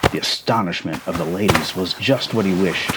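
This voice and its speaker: A man's voice: sits low, normal speed, noisy background. A man in an ASMR roleplay, casually reading a story aloud and chatting between passages.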